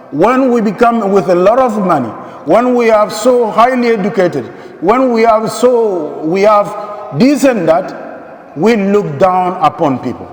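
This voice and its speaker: A middle-aged man from the Democratic Republic of Congo, a priest preaching a sermon with repeated, emphatic phrases and rhetorical questions. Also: sits high. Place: large church, indoors. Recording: echoey church with long reverb.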